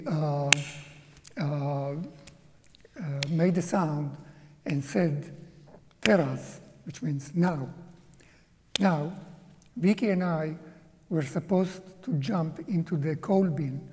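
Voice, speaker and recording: A man in his seventies, a native Hungarian speaker from Czechoslovakia, giving a slow, halting, reflective personal testimony as an interview guest in a museum auditorium. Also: fairly high pitch, dark tone, big-room sound. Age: 70s.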